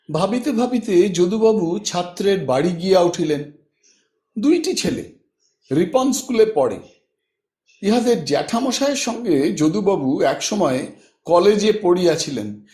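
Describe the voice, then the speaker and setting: A man's voice: high voice, unhurried. A man narrating a literary story as an audiobook, expressively and with dramatised delivery. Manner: expressive, acting out dialogue. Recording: echoey room.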